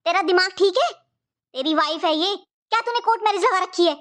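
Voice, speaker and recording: A woman as a high-voiced, fretful cartoon mother. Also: fairly quick, fairly bright tone. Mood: shocked, scolding. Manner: rambling, repetitive, motherly. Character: middle-aged mother-in-law, fretful but soothing family matriarch. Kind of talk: Hindi cartoon family drama acting.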